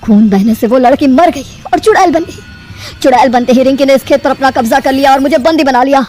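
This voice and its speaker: A woman voicing a sinister man, a rickshaw driver and former farm owner, in an animated horror tale with ominous, measured delivery. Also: high voice, lightly acted. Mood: grim confession.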